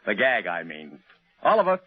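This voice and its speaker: A man's voice: on the low side, huge pitch swings, normal speed. Brash publicity boss, slangy wisecracks, breezy salesman manner, muffled vintage radio sound. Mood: dismissive. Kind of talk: 1950s radio drama acting. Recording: muffled, roomy old radio recording.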